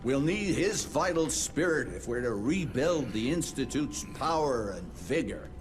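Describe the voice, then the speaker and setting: Video game elder: older institute chief, avuncular, florid phrasing, lively mid-pitched voice over music. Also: male voice, measured pace. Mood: hearty approval. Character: older male institute director, hearty, avuncular, grandiloquent. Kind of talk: video game cutscene acting.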